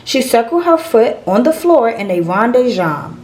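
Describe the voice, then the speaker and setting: A woman's voice: on the high side, very animated, normal speed, darkish tone, a little hiss or hum. A woman reading a children's picture book aloud as a storyteller.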